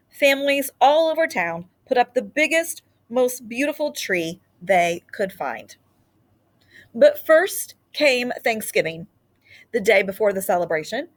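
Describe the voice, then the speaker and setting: A woman reading a children's picture book aloud in an expressive storytelling style. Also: on the high side.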